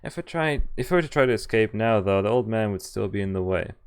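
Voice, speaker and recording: A man's voice: on the low side, lively intonation, quick pace, clear, resonant tone. A man streaming a game, reading visual novel text aloud and adding casual, joking commentary. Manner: amused, joking asides. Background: game music.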